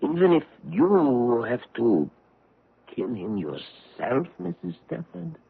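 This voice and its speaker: An actor playing a grave foreign man in a radio drama, speaking in formal, deliberate, intense phrases. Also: medium pitch, very animated, vocal fry, slightly rough, exaggerated voice. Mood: urgent warning. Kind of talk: old-time radio drama acting. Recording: tinny, band-limited vintage radio broadcast.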